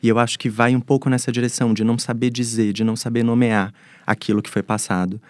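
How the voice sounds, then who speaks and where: A Brazilian man speaks at a quick clip in a dry, close recording. He answers as an expert guest, laying out his reasoning step by step in an articulate, reflective way. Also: mid-range voice, little pitch movement, dry recording. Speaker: psychoanalysis expert, panel guest. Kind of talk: explanatory panel interview answers.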